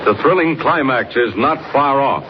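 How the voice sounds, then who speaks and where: A man's voice: mid-range voice, lively intonation, normal speed. A man narrating a radio serial in a theatrical, suspenseful 1940s announcer style. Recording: muffled noisy 1940s radio recording.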